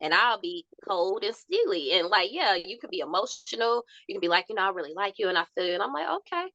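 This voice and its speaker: A young woman with a soft voice telling stories in a candid podcast chat, acting out quotes as she goes. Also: medium pitch, quick pace, no room sound, muffled recording. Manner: animated storytelling with laughs and acted-out quotes.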